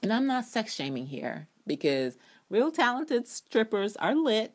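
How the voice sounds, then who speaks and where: A woman's voice: on the high side, big pitch swings, measured pace. A woman giving an emphatic, theatrical reading of an opinion essay, with sardonic ad libs. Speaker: TV drama blogger reading own review aloud.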